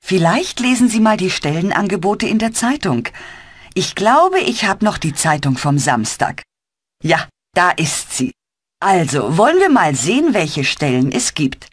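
A woman voicing a friendly German woman who chats with her flatmate in a scripted language-course dialogue. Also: lowish voice, dry recording, exaggerated voice. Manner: slow, clear and lively, with cheerful rises.

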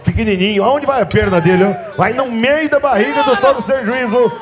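A man's voice: a little fast, a crowd in the background. Enthusiastic rodeo commentator man. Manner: enthusiastic, praising, shouting at highlights. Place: outdoor rodeo arena.

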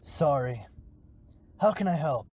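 A young adult playing an exasperated, sarcastic young fairy ride attendant in a web cartoon, deadpan and slangy. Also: mid-range voice, slow. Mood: wry, exasperated. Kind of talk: animated web cartoon acting.

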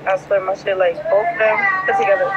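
Young New York woman over loud noise. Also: medium pitch, big pitch swings, fast, dull audio. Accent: New York mixed with Southern. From New York, United States. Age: young adult. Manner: blunt, slangy, profane. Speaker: livestream host, rapper. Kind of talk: casual livestream chat, reading out comments. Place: large indoor airport terminal. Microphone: phone at arm's length. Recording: noisy airport terminal, phone livestream. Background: loud terminal ambience.

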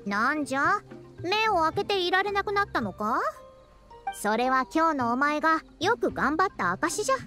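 A woman's voice: high voice, sing-song, normal speed, bright, forceful tone, faint music behind, heavily acted. A woman voicing a proud, youthful-sounding scholar in a Japanese video game, talking in a self-important yet caring way with old-fashioned elder's phrasing. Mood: earnest, caring. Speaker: scholar and teacher. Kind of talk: Japanese anime-style video game voice acting.

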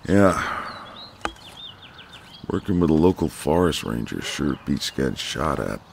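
An easygoing, flirtatious ex-marine next door, acted by a man for an intimate ASMR roleplay. His voice is extremely low and full of gravel. He takes his time in a casual drawl with pauses. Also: very animated, background noise, heavily acted. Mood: easygoing, modest. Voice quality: deep, gravelly.

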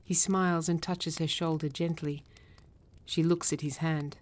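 A woman narrating a drama script, reading stage directions in a measured, matter-of-fact way. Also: low-pitched, fairly even intonation, slight background noise.

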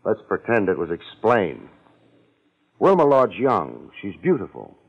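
A man's voice: lowish voice, wildly swooping pitch, unhurried, creaky, slightly rough, full character voice. A man playing a sardonic, wisecracking private detective in a 1950s radio drama, speaking in terse, clipped sentences. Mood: probing, sardonic. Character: hard-boiled wisecracking male private detective. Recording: muffled vintage 1950s radio recording.

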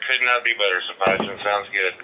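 A man's voice: on the low side, fairly even intonation, fairly quick, pushed and bright, low-quality recording. An American man chatting casually over a digital two-way radio as an amateur operator. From central Kansas, United States.

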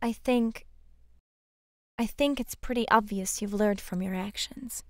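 A woman acting a caring, bubbly spider demon sister in an audio drama, with a reassuring, expressive delivery. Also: mid-range voice, unhurried, no room sound, clean studio sound, lightly acted. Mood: gentle concern.

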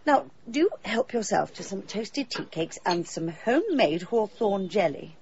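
Kindly, fluttery English vicar's wife. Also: female voice, medium pitch, sing-song, measured pace, darkish tone, lo-fi sound, slight background noise. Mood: cheerful, hospitable. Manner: solicitous, hesitant, fussing. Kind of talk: radio drama acting.

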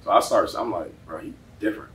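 A man's voice: low-pitched, quick pace, a bit bright. A man in his thirties, a coach chatting as a guest in casual slang, often saying bro. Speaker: football coach and trainer, guest. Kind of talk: casual interview chat. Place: indoor gym, podcast set. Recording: echoey, noisy gym, distant mic.

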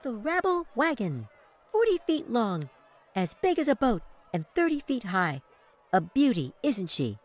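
A man voicing a tiny, excitable female fairy in an audiobook, with a high chirpy voice. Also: measured pace, heavily acted. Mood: excited. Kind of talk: audiobook character reading.

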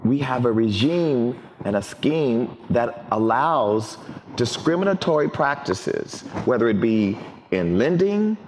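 Lively middle-aged man in a big hall. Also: mid-range voice. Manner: expressive, deliberate emphasis. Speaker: panelist. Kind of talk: panel discussion. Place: large banquet hall on stage. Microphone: headset mic. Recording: long hall reverb, faint noise.

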